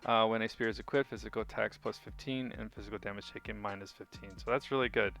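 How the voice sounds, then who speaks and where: A man's voice: on the low side, steady tone, fast, creaky, fairly bright tone. A man giving a casual game guide commentary as a video host, chatty and informal, thinking aloud as he goes.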